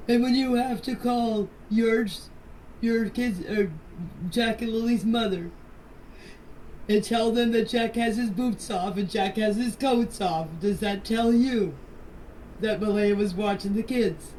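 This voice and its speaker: A woman's voice: fairly high pitch, unhurried, warm tone. An older woman from Canada gives an earnest, defensive personal account in a voice message, insisting on her points and repeating them. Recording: distant, noisy phone voice message.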